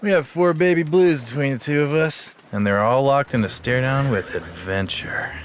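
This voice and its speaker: A man's voice: mid-range voice, normal speed, slight background noise, natural voice. An actor playing a cocky, smarmy young male adventurer with a swaggering, breezy manner in a fantasy audio drama. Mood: smug, breezy.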